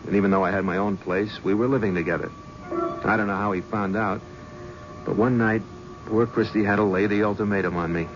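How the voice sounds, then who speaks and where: An actor playing a cynical young talent-agency gofer in a 1970s radio drama, narrating and talking in a brusque, flippant, wisecracking way. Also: male voice, on the low side, steady tone, fairly quick, over music, close to an everyday voice. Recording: muffled old radio broadcast, faint hiss.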